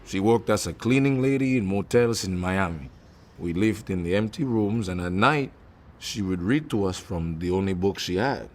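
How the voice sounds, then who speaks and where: An actor playing a suave, gallant Cuban-born man in a radio drama, speaking gently and intimately as he teases and woos. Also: low voice, normal speed, natural voice. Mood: reminiscing. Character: suave Cuban-born man, successful performer, tender and teasing suitor. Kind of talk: comedic radio drama acting.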